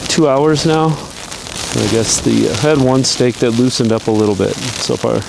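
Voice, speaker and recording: A man casually talking through his camping gear outdoors in strong wind, relaxed and rambling, chuckling now and then, recorded on a camera mic. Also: mid-range voice, unhurried, vocal fry. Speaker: outdoor vlogger. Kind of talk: casual gear-testing vlog. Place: outdoors in a windy backyard.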